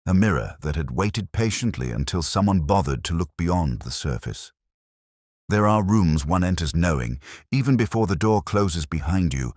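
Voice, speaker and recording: A man narrating a scripted first-person detective mystery in a solemn, literary, measured way. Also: low-pitched, darkish tone, no echo, studio quality.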